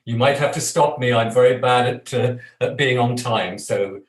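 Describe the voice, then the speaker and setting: A man's voice: medium pitch, normal speed, reverberant. A man teaching a live voice workshop as a voice coach, talking in a chatty, self-deprecating way and laughing often. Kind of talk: live online workshop talk.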